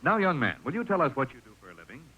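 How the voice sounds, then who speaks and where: A man's voice: mid-range voice, huge pitch swings, rapid-fire, a little hiss or hum. A man interviewing a guest in a scripted 1950s radio commercial, asking questions jovially with mock surprise. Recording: dull old radio broadcast.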